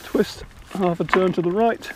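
A man's voice: high voice, steady tone, measured pace, noisy background. An older man casually explaining fishing steps outdoors on a riverbank, talking step by step. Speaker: angler and channel host.

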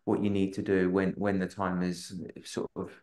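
A man's voice: deep voice, monotone, moderate pace, a little mellow. A man in his thirties, a real estate agent on a webinar panel, answering at length with many restarts and filler phrases over a video call. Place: room with a large wall map. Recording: roomy video call audio.

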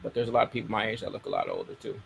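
Gravelly middle-aged American man hosting. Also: on the low side, huge pitch swings, racing. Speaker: radio show host. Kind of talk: casual talk to a broadcast audience.

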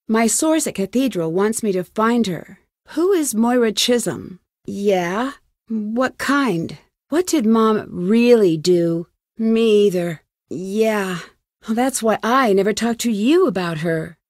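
A woman's voice: medium pitch, darkish tone, no echo, clean studio sound. An actress voicing a determined, inquisitive young woman detective with an American accent in a video game, earnest and questioning. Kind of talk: video game voice acting, isolated dialogue lines.

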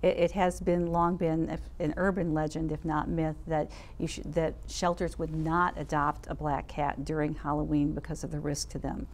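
An older woman presents a talk show in a slightly low voice. She speaks deliberately and articulately, as if partly from notes, with some background noise. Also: level tone. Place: indoor TV studio set.

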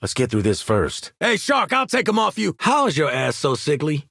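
An actor playing a cocky, wisecracking American sniper mercenary in a video game, streetwise and sardonic, with punchy delivery. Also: male voice, fairly high pitch, huge pitch swings, moderate pace, vocal fry, a bit bright, slightly rough, no echo, studio quality, full character voice. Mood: exasperated, commanding. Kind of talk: video game combat voice lines.

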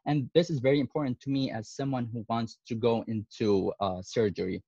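Man in his twenties, from Syria. Middle-pitched and hesitant. Dry, lo-fi video call sound. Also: steady tone, a little fast, dark tone, husky, no room sound. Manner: hesitant, many fillers. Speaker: medical student. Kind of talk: casual video call presentation. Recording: dull, lo-fi video call audio.

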